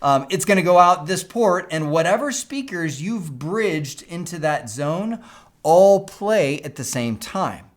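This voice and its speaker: Male instructor, pitched a little above average, for a casual technical walkthrough. Conversational delivery that keeps checking the listener follows. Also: lively intonation, a little slow. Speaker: instructor explaining school PA systems. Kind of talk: informal technical explainer with acted-out asides.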